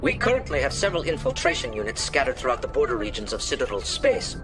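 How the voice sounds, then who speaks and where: A man playing a formal alien councillor in a video game, giving orders with measured authority. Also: medium pitch, wildly swooping pitch. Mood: grave, official. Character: formal male alien councillor briefing an agent. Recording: music behind the voice.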